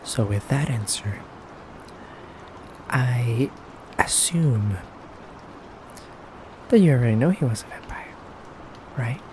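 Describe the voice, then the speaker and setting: A man playing a menacing, teasing male vampire captor in an ASMR roleplay, speaking softly and hesitantly. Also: mid-range voice, expressive. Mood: quietly threatening. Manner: slow, hesitant, teasing, quietly threatening. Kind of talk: ASMR roleplay addressed to the listener. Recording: clearly noisy background ambience.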